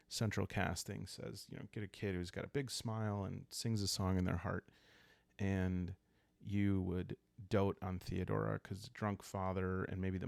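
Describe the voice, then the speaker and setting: A low-pitched man talks in a calm, unhurried way through a solo storytelling game. His voice has a gravelly fry to it, and the recording is dry and close, with no room around it. He improvises scenes and thinks out loud, in a style meant to help listeners doze off. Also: no echo. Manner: soft-spoken, calm and unhurried, thinking aloud. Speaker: host playing a solo journaling roleplay game.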